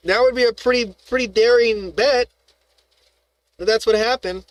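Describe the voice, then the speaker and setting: A man's voice: extremely high pitch, normal speed, dry recording. A man hosting a live stream, ranting in a heated, profane, rambling way, full of restarts and rhetorical questions.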